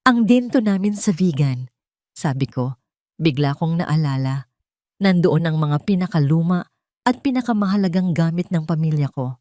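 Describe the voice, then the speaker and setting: A woman's voice: low-pitched, a little mellow, no room sound, clean studio sound. A woman with a Filipino accent reading a scripted first-person dramatic story as its narrator.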